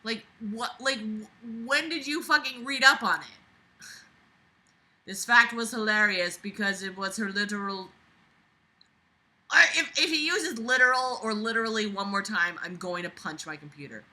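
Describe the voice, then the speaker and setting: A woman's voice: mid-range voice, animated, moderate pace, pushed and bright. A woman reading a book aloud and breaking in with exasperated, sarcastic, swearing commentary in a theatrical way. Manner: sarcastic, scornful, swearing freely. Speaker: reader reviewing a book aloud.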